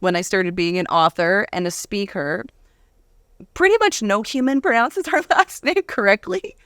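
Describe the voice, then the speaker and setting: Female, conversational interviewee-host type, chatty and giggly, medium pitch, dry sound. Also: normal speed, a bit bright, no room sound. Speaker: author and speaker.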